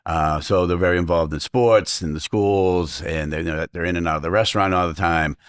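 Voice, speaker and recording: A middle-aged man from south of Boston with a Boston accent, talking about his restaurant as an interview guest, quick and full of fillers. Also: low-pitched, steady tone, clear, resonant tone, dry recording, clean studio sound. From Massachusetts, south of Boston. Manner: fast-talking, lots of fillers. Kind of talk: casual interview chat.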